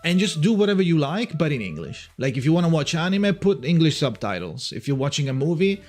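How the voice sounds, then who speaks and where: A man's voice: a little high, big pitch swings, brisk, a little mellow, soft background music. A young man from Italy with an Italian accent, chatting casually on a livestream, rambling and restarting his sentences and swearing now and then. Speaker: streamer.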